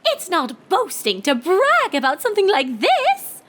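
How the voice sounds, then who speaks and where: A woman's voice: very high voice, huge pitch swings, unhurried, fairly bright tone, voice pushed up, heavily acted. A woman voicing a refined, dramatic female cartoon unicorn pony in a genteel, teasing, theatrical manner. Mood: admiring, gushing. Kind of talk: character voice in fan fiction reading.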